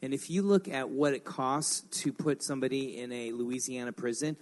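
A man's voice: mid-range voice, steady tone, normal speed, a little mellow. A man making emphatic, argumentative points step by step in an opinionated radio discussion. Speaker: radio program regular.

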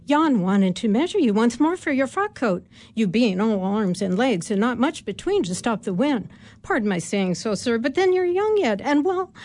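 A woman doing a talkative village tailor's wife who rambles in homespun country speech. Her voice sits fairly high and bright. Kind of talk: radio drama acting.

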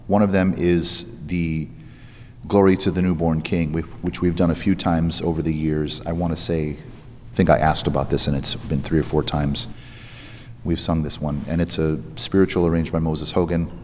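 Deep-voiced man explaining in an interview. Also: little pitch movement, moderate pace, a little mellow, long reverb tail, a little hiss or hum. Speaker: music director of an a cappella group being interviewed. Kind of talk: conversational interview.

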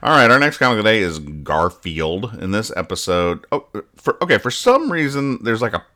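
A man's voice: on the low side, sing-song, moderate pace, bright, forceful tone. A man hosting a casual comedy podcast, reading out and explaining things in a rambling, mock-serious way. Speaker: host of a comics-reading podcast.

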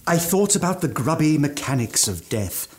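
An actor playing an eccentric, aging gourmand mountaineer with ghoulish relish and fussy, old-fashioned genteel speech in a radio drama. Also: male voice, a little high, big pitch swings, moderate pace, darkish tone. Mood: matter-of-fact, ghoulish. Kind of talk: theatrical radio drama monologue.

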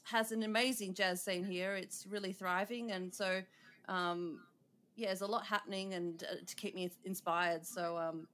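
A woman's voice: medium pitch, fairly even intonation, moderate pace, a bit bright. Chatty Australian woman with many ums. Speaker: guest composer and conductor. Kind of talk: casual interview.

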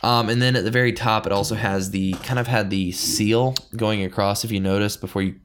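A man's voice: fairly low pitch, steady tone, brisk, fairly bright tone, a little hiss or hum. A man co-hosting a beer podcast, talking in a chatty, informal, enthusiastic way. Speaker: podcast co-host. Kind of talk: casual beer-tasting podcast chat.

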